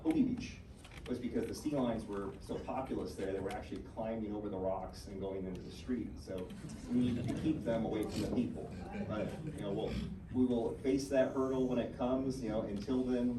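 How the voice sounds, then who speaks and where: A man answering questions at a public homeowners meeting, explaining plainly with some filler words. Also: lowish voice. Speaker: harbor official answering questions. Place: community meeting hall. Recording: echoey, distant, noisy meeting room.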